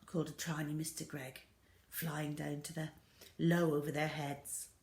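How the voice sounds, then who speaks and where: Lady reading a kids story, takes her time, really expressive, echoey room. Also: low voice, unhurried.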